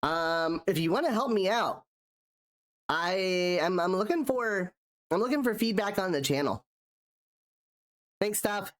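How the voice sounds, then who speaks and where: Lively-pitched man, nasal from a cold. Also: unhurried, long pauses. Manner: rambling, restarts sentences often. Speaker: streamer and artist. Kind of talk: casual live-stream chat while drawing.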